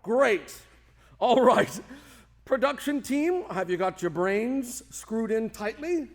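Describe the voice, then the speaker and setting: Male radio show host: high-voiced, animated, grandiose and playful. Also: slow. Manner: grandiose, playful, formal introductions. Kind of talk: theatrical showman hosting.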